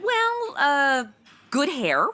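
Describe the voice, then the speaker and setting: A woman's voice: high-pitched, huge pitch swings, extremely slow, sharp tone, exaggerated voice. A woman playing a bubbly, ditzy young insurance seller in a comedy audio drama, with flippant, slangy Valley-girl chatter. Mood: flippant, gossipy.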